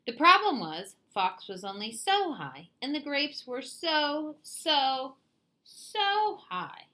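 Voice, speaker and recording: A bright-voiced woman tells a children's story with very animated pitch. She reads slowly and theatrically, like a picture-book read-aloud for young listeners. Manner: theatrical, deliberate.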